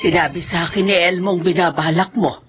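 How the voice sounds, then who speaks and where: A woman playing an anguished, devout grandmother in a horror radio drama, pleading in a tremulous, drawn-out way. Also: on the low side, steady tone, over loud music, close to an everyday voice. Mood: worried.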